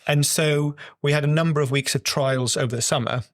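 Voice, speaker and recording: A man's voice: a little high, fairly even intonation, brisk, no room sound, clean studio sound. A man explaining a technical project in a podcast interview, conversational, often rephrasing as he goes. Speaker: project researcher being interviewed.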